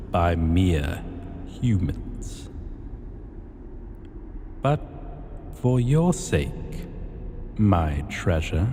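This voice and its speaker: Man voicing a slow, deep dragon king in reverb. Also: mellow, exaggerated voice. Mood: proud, conceding. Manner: slow, commanding, formal, deliberate. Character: imperious male dragon king, possessive, seductive, menacing. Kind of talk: ASMR fantasy roleplay acting. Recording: long cavernous reverb, clear background noise.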